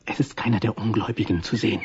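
A man's voice: medium pitch, expressive, normal speed, lo-fi sound, lightly acted. Man playing a wary soldier, noisy recording. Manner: doubting, cautious. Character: wary, nervous soldier companion. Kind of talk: radio play acting.

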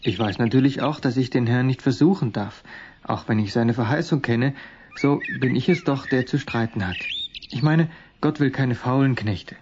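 A male actor as a confident young shepherd with a medium-pitched voice. He explains things earnestly and resolutely, in radio-drama style, on a dull recording with faint noise. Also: moderate pace, a little mellow, close to an everyday voice. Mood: devout, resolute. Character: young shepherd slinger, devout, determined, confident. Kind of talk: radio play acting.

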